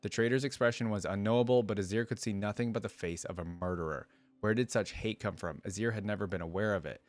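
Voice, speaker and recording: Low-voiced man reading and reacting. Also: fast, no room sound. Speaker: fan reaction video creator. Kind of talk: reading a fantasy story aloud with casual reaction asides.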